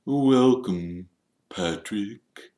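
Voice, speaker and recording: Man playing a slow, stiff gentleman ghost. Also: on the high side, monotone, pitched above the performer's own voice. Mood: stiff, bitter. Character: proud, stiff, easily wounded gentlemanly male ghost. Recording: roomy, echoing narration recording.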